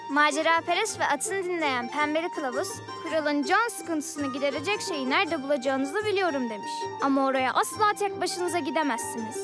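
A young cartoon girl with a high voice. She is headstrong and imaginative, speaking assertively and expressively. Music is clearly heard behind her. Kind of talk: children's cartoon dubbing.

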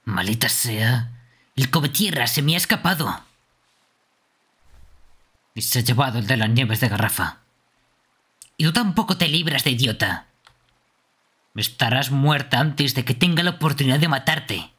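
A man voicing a short-tempered young male killer in a live fan dub of a game, gruff and shouting insults, with a husky voice. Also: medium pitch, expressive, normal speed, pauses often. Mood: annoyed.

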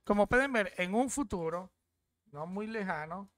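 A man's voice: sits high, animated, normal speed, a bit bright, no echo, clean studio sound. A young man casually walking viewers through an app on screen. He talks from a desk at home and keeps checking in with "¿ok?". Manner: chatty, repeats "¿ok?" often. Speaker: crypto app reviewer. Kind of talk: casual screen-share walkthrough.

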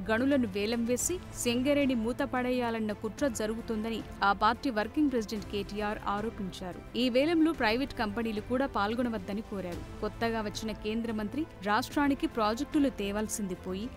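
A woman narrating a scripted news report in a brisk, formal read. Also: a little high. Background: news music.

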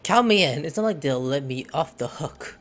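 A man commenting on his gameplay, loud, excitable and swearing, speaking at a desk mic with game audio under him. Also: on the high side, normal speed, soft background music. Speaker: gaming streamer.

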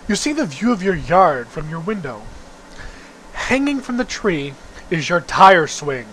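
A man's voice: fairly high pitch, big pitch swings, a little slow, fairly bright tone, slight background noise, rough recording. A young man giving an amateur, theatrical read-aloud of a story, with the odd stumble. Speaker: reader of a webcomic read-aloud.

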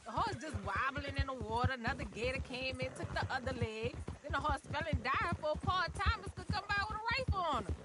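High-pitched Southern backwoods woman. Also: animated, a little fast, few pauses, loud music behind the voice. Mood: chatty. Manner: folksy, blunt, chatty. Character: stranded rural swamp woman, plain-spoken, distrustful of outsiders. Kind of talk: video game dialogue. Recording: horse hooves and outdoor noise behind.

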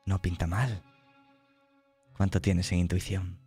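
A man's voice: low-pitched, level tone, takes it slowly, dark tone, husky, faint music behind. A man acting as game master, narrating a tabletop horror role-playing game and explaining its rules.

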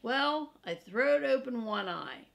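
Woman in her sixties, storybook narration, slow, slightly high, creaky, folksy. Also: animated, a bit bright. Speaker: storybook reader for children. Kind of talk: scripted first-person story narration read aloud. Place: small furnished room indoors, seated in an armchair.

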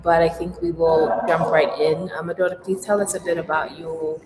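A woman who manages development at a gallery, interviewing an artist with brisk, encouraging prompts. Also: deep voice, level tone, crowd noise. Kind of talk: conversational interview. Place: gallery showroom, indoors. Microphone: video call. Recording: roomy echo with faint noise.

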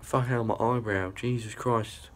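A man's voice: mid-range voice, fairly even intonation, normal speed. A young man, rambling and swearing in a casual vlog filmed on a phone held at arm's length. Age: young adult. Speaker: vlogger. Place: small indoor rooms and a hallway.

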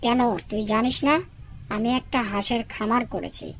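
A woman's voice: fairly high pitch, fairly even intonation, moderate pace, a bit bright, slight background noise, lightly acted. A woman voicing an earnest, dutiful young male fox in a cartoon, polite and eager in manner. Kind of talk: cartoon dubbing.

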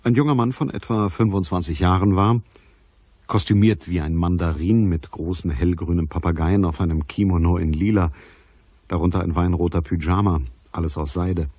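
A man's voice: low-pitched, normal speed, warm tone, dry recording. An actor playing a sceptical detective who narrates his case in a dry, composed way for a crime radio drama. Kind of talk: scripted first-person crime narration.

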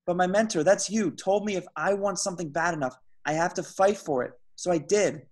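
A man reading the part of a hapless, earnest young slacker hero at an amateur table read, halting and excitable. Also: high voice. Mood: determined. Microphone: video call.

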